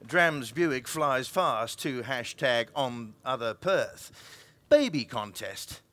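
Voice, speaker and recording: A man's voice: mid-range voice, animated, takes it slowly, sharp tone. A man performing an emphatic, dramatic reading of a comic story as an actor. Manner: theatrical, emphatic delivery.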